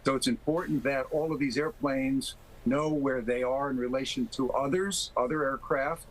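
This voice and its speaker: A man speaking as an expert guest in a TV interview, explaining things in a measured, careful way. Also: medium pitch, steady tone, poor audio. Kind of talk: live TV interview.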